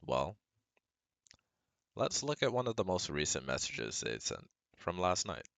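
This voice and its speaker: A man's voice: sits low, sing-song, unhurried, vocal fry, a bit bright, no echo, exaggerated voice. A man playing a caring, protective best friend who gives firm, earnest advice straight to the listener in an ASMR roleplay. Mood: calm, instructive. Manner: soothing, earnest, instructive, speaking directly to listener.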